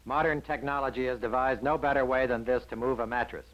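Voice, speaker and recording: A man's voice: mid-range voice, fairly even intonation, fast, fairly bright tone, rough recording. An American man reading scripted newsreel narration from around 1960 in a polished, wry manner.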